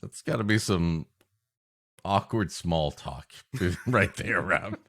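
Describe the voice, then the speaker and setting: A man's voice: deep voice, slow pace, fairly bright tone. A man co-hosting a comedy podcast in bantering chat, riffing and often laughing at his own jokes. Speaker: podcast co-host.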